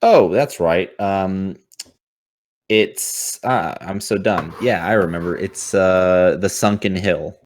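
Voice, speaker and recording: A man running a tabletop game as game master, improvising narration in a casual, halting way, thinking aloud and swearing freely. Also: sits low.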